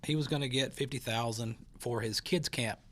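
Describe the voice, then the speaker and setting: A man's voice: mid-range voice, normal speed, a bit bright. Folksy male talk show host. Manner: rambling, folksy, many fillers and restarts. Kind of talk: casual news chat and interview.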